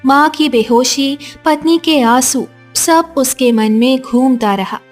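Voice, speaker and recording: Slow, high-pitched woman narrating a story. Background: background music.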